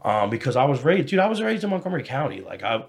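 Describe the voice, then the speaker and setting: A man's voice: mid-range voice, sing-song, fast, gravelly, breathy edge. Profane, rambling American man in his thirties. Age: 30s. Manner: profane, rambling, repeats himself, frequent "you know". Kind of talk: casual candid podcast chat.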